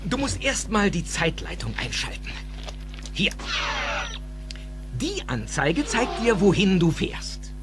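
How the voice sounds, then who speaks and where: An actor playing an excitable, eccentric older scientist in a German audio drama, theatrical and emphatic, with precise technical phrasing. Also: male voice, sits high, takes it slowly, long pauses, loud music behind the voice, heavily acted. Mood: instructive, reminiscing.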